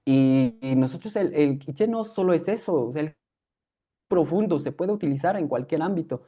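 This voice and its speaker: A man's voice: a little high, animated, normal speed, dark tone. A young man from Guatemala, a native K'iche' speaker whose Spanish carries a K'iche' accent, speaking reflectively as an interview guest with frequent fillers and restarts. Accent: K'iche'-influenced Guatemalan Spanish. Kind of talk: conversational interview.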